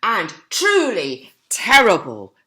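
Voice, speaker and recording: A woman's voice: medium pitch, huge pitch swings, slow, pushed and bright. A woman storyteller reading expressively to young children, with chatty questions and asides to them. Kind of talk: animated storybook narration with asides to listeners.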